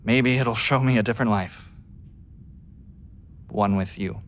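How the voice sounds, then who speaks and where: A man playing a gentle, forgiving, lovelorn astronaut crewmate in a radio drama, halting and soft-spoken. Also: on the low side, slight background noise, close to an everyday voice. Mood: wistful. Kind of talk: naturalistic radio drama acting.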